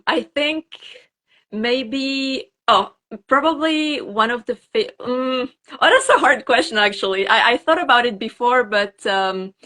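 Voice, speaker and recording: A woman's voice: on the high side, animated, unhurried, sharp tone. Francophone woman chatting as guest. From Belgium, French-speaking part. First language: French. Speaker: pageant queen, interview guest. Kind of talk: casual interview chat.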